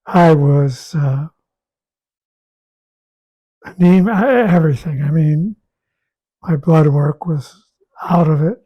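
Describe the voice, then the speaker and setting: A man with a raspy, hoarse voice talking casually about his health, halting and repeating words as he goes. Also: on the high side, warm tone, rough recording. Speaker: guest sharing a health update. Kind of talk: casual conversation.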